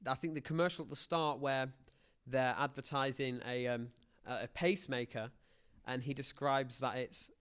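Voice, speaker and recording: Bloke on a film podcast, chatting casually, opinionated, does little mock reactions. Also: male voice, mid-range voice, fairly even intonation, normal speed, few pauses, a bit bright, clean tone. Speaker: podcast host.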